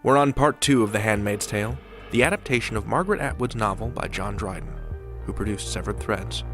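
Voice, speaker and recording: A man's voice: fairly low pitch, a little fast, fairly bright tone. A man hosting a podcast, giving a dramatic scripted introduction to the episode. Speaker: podcast host. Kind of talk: scripted narration. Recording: music underneath.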